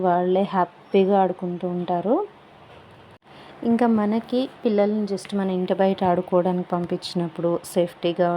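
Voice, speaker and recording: A woman's voice: lowish voice, mellow, a little hiss or hum, low-quality recording. A woman giving a casual product review voice-over, explaining things in simple, repeated steps. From India. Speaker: product review vlogger and parent.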